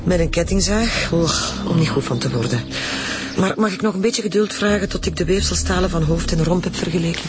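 An actress playing a dry, no-nonsense forensic doctor with a Flemish accent in a radio drama, speaking assertively. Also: female voice, lowish voice, brisk, over music, lightly acted.

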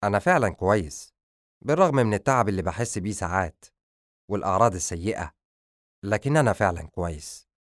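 A man's voice: on the low side, lively intonation, quick pace, pauses often, dry recording, natural voice. Man acting weary lovestruck young man, dry close sound. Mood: earnest, grateful. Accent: Egyptian. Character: ailing, lovestruck young man, gentle and playful. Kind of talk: audiobook character dialogue.

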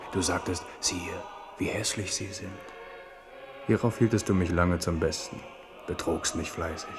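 A wry French monarch played by a man reflects in a low voice, as if to himself. The delivery is intimate and quietly amused, in radio drama style, with music clearly behind it. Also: natural voice. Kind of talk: German radio drama acting.

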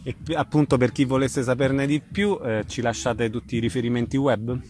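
A man's voice: medium pitch, fairly even intonation, normal speed, a little mellow. A man conducts an informal event interview as the interviewer. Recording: faint background noise.

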